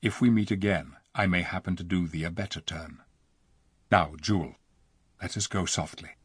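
A man playing a stern noble king in a storybook reading, with solemn old-fashioned speech, low and hushed. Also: normal speed, slightly rough, no echo, studio quality. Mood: grave.

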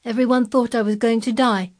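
Woman voicing an imperious high-pitched young boy. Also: moderate pace, darkish tone, lightly acted. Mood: decided. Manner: commanding, lordly, emphatic. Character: imperious, decided young boy, lordly and eager.

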